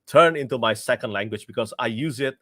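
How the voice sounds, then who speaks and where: A man's voice: medium pitch, brisk, a bit bright, no echo, clean studio sound. A young man with an Indonesian accent, chatting casually on a livestream as a book reviewer, laughing often and restarting his sentences.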